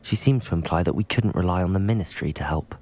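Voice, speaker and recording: A voice actor playing a calm, polite young wizard student, in video game dialogue. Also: low voice, quick pace. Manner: calm, polite, dryly witty.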